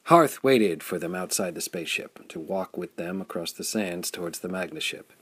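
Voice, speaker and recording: A man's voice: low voice, animated, normal speed, a bit bright, breathy edge, no room sound. A man reading a pulp adventure story as scripted audiobook narration.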